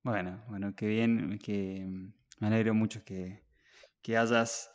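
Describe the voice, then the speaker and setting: A man's voice: fairly low pitch, flat delivery, slow pace. A man hosting an interview podcast, giving encouraging and slightly hesitant replies. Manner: warm, encouraging, hesitant questioning. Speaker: podcast host. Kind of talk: casual interview conversation.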